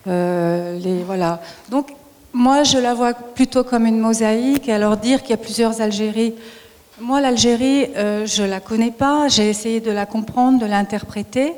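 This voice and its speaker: A woman author on a panel, answering slowly with many pauses and fillers. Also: on the high side, darkish tone. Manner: hesitant, many fillers, slow and searching. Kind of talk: public talk answer. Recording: reverberant hall.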